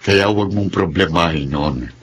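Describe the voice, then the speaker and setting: A man's voice: deep voice, moderate pace, fairly bright tone, a little hiss or hum. An actor playing a caring, easygoing middle-aged father in a Filipino radio drama. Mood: amused, reassuring. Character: caring, easygoing older father eager for grandchildren. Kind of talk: Tagalog radio drama acting.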